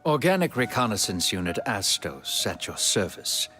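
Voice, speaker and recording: An actor playing a dutiful, self-effacing elf scout bred to serve in a fantasy game, speaking formally and politely. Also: male voice, lowish voice, sing-song, moderate pace, bright, forceful tone, soft background music. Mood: solemn. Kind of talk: cinematic video game acting.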